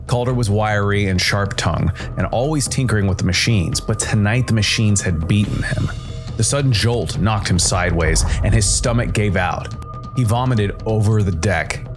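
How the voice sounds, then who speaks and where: Man, deep low voice, scripted noir sci-fi narration, storyteller host, faint music behind.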